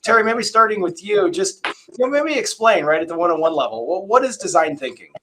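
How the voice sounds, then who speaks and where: A man's voice: high voice, lively intonation, brisk, bright, forceful tone, a little hiss or hum. A man moderating a design panel, reading guest introductions and then chatting casually with many fillers and restarts.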